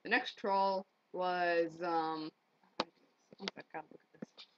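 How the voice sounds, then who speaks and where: A woman's voice: lowish voice, steady tone, slow, noisy background. A young woman chatting casually and jokingly with a friend in a playful, sweary way. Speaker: amateur vlogger doing character voices. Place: small bedroom at home, indoors. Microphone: computer webcam mic at arm's length. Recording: dull lo-fi webcam audio.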